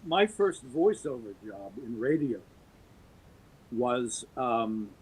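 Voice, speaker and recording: A man's voice: medium pitch, very animated, slow pace, a little mellow, breathy edge, low-quality recording. A man in his fifties from the US East Coast, a guest actor fondly reminiscing about old radio drama in casual chat. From US East Coast, Baltimore. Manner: reminiscing, thoughtful.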